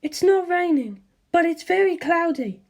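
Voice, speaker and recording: A woman voicing a worried, gentle middle-aged mother speaking on the phone. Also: very high-pitched, expressive, a little slow, pitched above the performer's own voice, full character voice. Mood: anxious. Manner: soft, anxious, loving.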